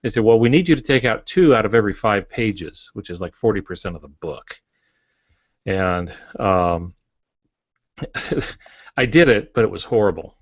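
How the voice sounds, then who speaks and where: A man with a low voice shares stories as an author on a writing podcast. He speaks in a relaxed way, pausing often with filler sounds. The audio is dry but dull and a bit lo-fi. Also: no echo. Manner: relaxed storytelling, many "uh" pauses. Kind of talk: casual writing podcast chat.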